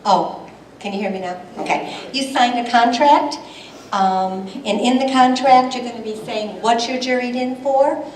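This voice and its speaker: A woman's voice: a little high, moderate pace, far from the mic, slight background noise. Chatty woman explaining rules in an echoey hall. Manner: chatty, explains rules, loud without a microphone. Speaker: event committee head. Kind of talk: announcement at a club meeting. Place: meeting hall.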